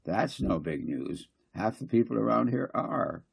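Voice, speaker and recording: A man's voice: on the low side, sing-song, a little slow, vocal fry, husky, pitched above the performer's own voice, exaggerated voice. A man reading a story, voicing a blunt, scornful small-town councillor who is dismissive and plain-spoken.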